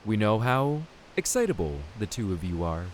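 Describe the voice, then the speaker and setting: A man's voice: lowish voice, very animated, moderate pace. A man playing a patient, dutiful royal knight in a fantasy audio drama, respectful and formal. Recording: clear background hiss.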